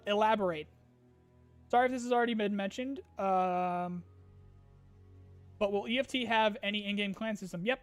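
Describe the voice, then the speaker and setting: Casual male streamer, high pitch, a bit tired and strained, reads chat questions and riffs with lots of ums, faint music behind. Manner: reads viewer questions aloud then answers casually with fillers.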